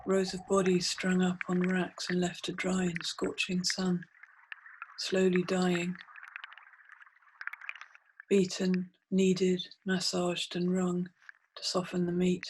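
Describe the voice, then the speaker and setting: A woman's voice: lowish voice, monotone. Woman guiding a meditation, noisy ambient recording. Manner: deliberate, immersive second-person storytelling. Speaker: meditation guide, multimedia artist. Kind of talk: guided meditation, scripted poetic narration.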